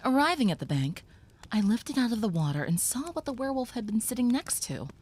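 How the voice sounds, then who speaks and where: Woman voicing a gentle young vampire. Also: on the high side, big pitch swings, a little fast, slight background noise. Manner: soft, reassuring, sometimes whispering. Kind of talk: audio roleplay to the listener.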